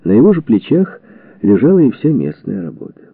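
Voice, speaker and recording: A man reading a historical book aloud as an audiobook narrator, with measured, expressive storytelling and deliberate phrasing. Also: mid-range voice, slightly rough, no room sound. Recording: dull phone-like narrow-band sound, dry.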